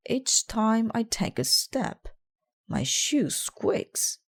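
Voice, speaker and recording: A woman voicing an earnest, bumbling, excitable male dinosaur detective from a storybook, with emphatic delivery. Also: a little high, drawn-out words, pitched above the performer's own voice, exaggerated voice. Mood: earnest, puzzled. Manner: emphatic, exclamatory.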